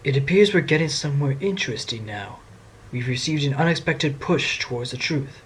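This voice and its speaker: A man's voice: medium pitch, moderate pace, a little hiss or hum, close to an everyday voice. A man playing an assured, wry fox defense lawyer, explaining things in an audio drama. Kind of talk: amateur audio drama acting.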